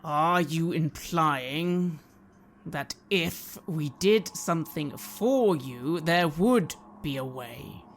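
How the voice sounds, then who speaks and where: A man playing a stern, proud inquisitor in a tabletop fantasy game, negotiating in a firm, deliberate way. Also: fairly high pitch, a little hiss or hum. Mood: firm, bargaining.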